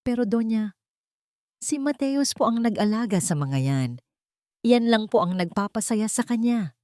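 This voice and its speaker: A woman voicing a meek, hurt young housemaid in a dramatic audiobook, pleading in a timid, hushed way. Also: fairly high pitch, lively intonation, moderate pace, no echo, studio quality, voice pushed up, lightly acted. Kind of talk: dramatic audiobook character reading.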